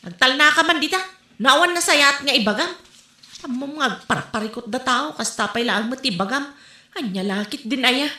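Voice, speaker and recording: A woman with an Ilocano accent playing an aggrieved, quick-tempered young villager in a comedy audio drama. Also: high voice, animated, bright, forceful tone. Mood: indignant. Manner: emphatic, complaining. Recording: roomy echo.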